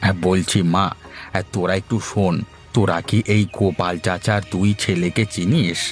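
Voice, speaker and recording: A man playing an easygoing middle-aged father in a village cartoon. His voice sits fairly low and he talks in a placating, everyday manner, with music playing underneath. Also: fairly even intonation, normal speed, close to an everyday voice. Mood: calm. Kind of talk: cartoon story dialogue.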